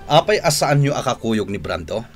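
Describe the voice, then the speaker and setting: A man's voice: mid-range voice, very animated, moderate pace, over music. A man with an Ilocano accent acting a commanding, suspicious gang boss in a radio drama.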